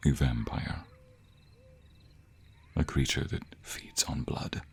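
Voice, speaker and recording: A man voicing a devoted, protective vampire boyfriend in an intimate romantic audio roleplay, speaking earnestly and hesitantly, often in a breathy hush. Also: a bass voice, fairly even intonation, takes it slowly, whispered, heavily acted. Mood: grave confession.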